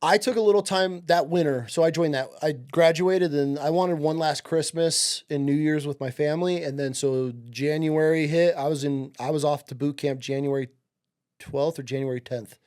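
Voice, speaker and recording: Male guest, late thirties, from upstate New York; relaxed, profane storyteller whose voice sits slightly high. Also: normal speed, smooth. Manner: laid-back, profane, says "dude" and "like" a lot. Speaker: podcast guest, Marine veteran. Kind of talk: casual interview storytelling.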